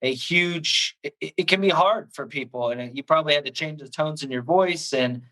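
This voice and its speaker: A man hosting a podcast, energetic and hype-filled, often stumbling and restarting his sentences. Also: a little high, normal speed, poor audio. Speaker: podcast host. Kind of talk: hyped show host intro and interview.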